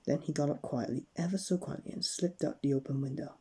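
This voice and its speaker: A woman reading a bedtime story aloud with expressive, dramatic narration. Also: deep voice, fast talker, slightly rough.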